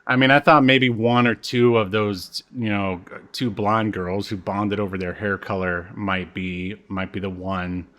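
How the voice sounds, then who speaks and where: Slow-talking man with a lowish voice. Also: flat delivery, fairly bright tone. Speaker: podcast co-host. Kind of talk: casual unscripted chat.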